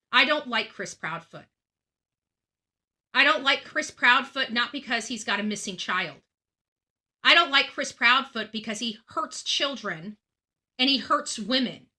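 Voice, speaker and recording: Woman, very bright sharp voice, slightly high pitch, true crime host, emphatic repetition, earnest monologue. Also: expressive, a little slow, long pauses. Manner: emphatic, repeating phrases for stress. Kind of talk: earnest monologue to channel audience.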